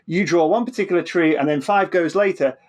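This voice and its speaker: A man's voice: on the high side, brisk, darkish tone. A man explaining science informally as an interview guest, often repeating words and restarting, checking in with "right?".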